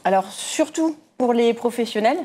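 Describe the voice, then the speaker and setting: A woman's voice: fairly high pitch, expressive, normal speed, mellow. A woman from southwest France, a guest in an interview, answering in an easygoing, hesitant way with frequent fillers. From southwest France, near Bordeaux. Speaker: guest, company security manager.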